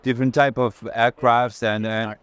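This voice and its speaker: A man giving a live curator's tour at an art fair, speaking haltingly and restarting phrases mid-thought. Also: medium pitch, little pitch movement, a bit bright, smooth. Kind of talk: live walking tour conversation.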